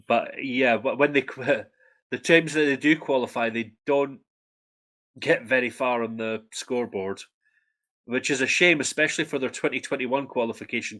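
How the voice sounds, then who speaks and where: Young Scottish male co-host, bright tone, loose and hesitant, lots of fillers. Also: medium pitch, moderate pace. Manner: hesitant, frequent ums. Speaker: co-host, Eurovision fact presenter. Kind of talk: casual chat.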